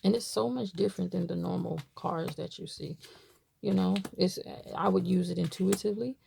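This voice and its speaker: A woman's voice: lowish voice, normal speed, vocal fry, dark tone, slight background noise. A woman from Baltimore chatting casually to camera, rambling with many fillers as she shows items. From Baltimore, USA. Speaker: tarot deck reviewer.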